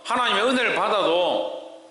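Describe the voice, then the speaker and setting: A man's voice: high voice, animated, moderate pace, sharp tone. A man with a South Korean regional dialect preaching verse by verse as a pastor, pressing his listeners with insistent rhetorical questions. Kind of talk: verse-by-verse sermon with scripture reading. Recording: echoey hall with long reverb.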